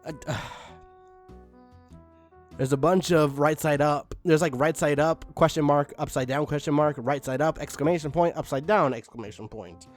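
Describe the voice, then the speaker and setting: A man's voice: fairly high pitch, moderate pace, faint music behind. A man giving a comedic dramatic reading of fan fiction, theatrical, with exasperated asides. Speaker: fan fiction reader, channel host.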